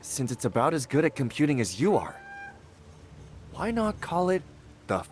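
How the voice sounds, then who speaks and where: An actor playing an easygoing, teasing yet supportive friend in a video game, with a playful, reassuring manner. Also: male voice, a little high, sing-song, normal speed, soft background music. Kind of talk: video game character acting.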